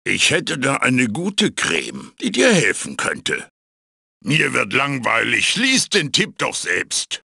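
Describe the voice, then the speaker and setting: An actor voicing a wise, bossy old magical wooden mask in a video game, raspy and theatrical, urging and instructing like a mentor. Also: male voice, medium pitch, wildly swooping pitch, slow pace, bright, forceful tone, dry recording, studio quality, heavily acted. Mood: playful, wry. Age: older. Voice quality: raspy, gravelly. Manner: theatrical, emphatic, exhorting. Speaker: guide and tutorial mentor. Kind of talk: video game character voice acting.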